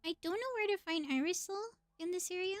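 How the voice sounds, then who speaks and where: A woman's voice: very high-pitched, brisk, dry recording. A woman doing casual live game commentary as a streamer, chatty and thinking aloud as she plays.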